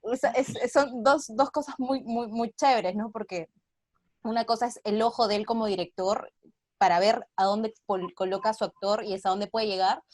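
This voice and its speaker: Woman chatting in an interview, actress type, thinking out loud, really dry recording. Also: on the high side, steady tone, moderate pace, no echo. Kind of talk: casual, reflective interview talk.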